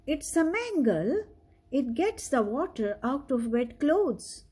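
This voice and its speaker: A woman's voice: high voice, big pitch swings, takes it slowly, dark tone, heavily acted. A woman reading the part of a kindly, instructive female schoolteacher in a children's storybook. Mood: emphatic, explaining.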